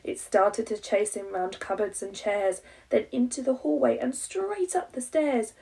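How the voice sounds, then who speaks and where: An English woman in her thirties reads a rhyming children's story like a primary teacher. Her delivery is slow and dramatically emphasised, with a creaky edge, recorded a little way from the microphone. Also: fairly high pitch, warm tone, recorded from a distance, low-quality recording. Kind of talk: expressive children's storybook reading.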